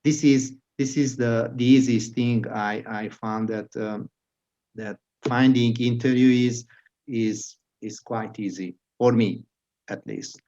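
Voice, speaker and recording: A Hungarian-accented man whose first language is Hungarian, hosting an interview. He speaks slowly and haltingly, restarting words as he searches for them, at a medium pitch. The audio sounds dull and lo-fi. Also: steady tone, dark tone. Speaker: podcast host. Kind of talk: casual interview chat.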